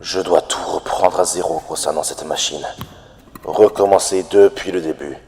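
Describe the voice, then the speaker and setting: Man acting a deep-voiced troubled inventor. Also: normal speed, creaky, darkish tone. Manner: grave, brooding monologue. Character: troubled, obsessive male inventor brooding over failed experiments. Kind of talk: dramatic cartoon-horror fandub acting. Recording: long reverberant hall sound.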